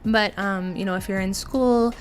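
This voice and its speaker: Brief: young woman podcast host, mid-pitched, chatty, giggly, loose casual delivery. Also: steady tone, moderate pace. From United States. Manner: chatty and giggly, many fillers. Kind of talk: casual streamed interview.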